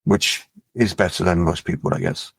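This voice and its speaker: Middle-aged man from France, lowish voice, laid-back rambling guest, recorded dry and close. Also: normal speed, vocal fry, slightly rough, dry recording. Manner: relaxed, musing, trails off with fillers. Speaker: musician and label head, guest. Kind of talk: casual interview chat.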